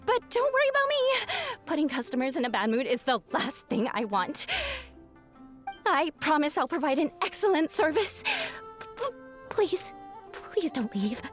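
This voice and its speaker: A young spirit attendant in an anime video game. She is clumsy and easily flustered, with a very high girlish voice. She stammers apologetically and is eager to please. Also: sing-song, measured pace, full character voice. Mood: flustered, apologetic. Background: background music.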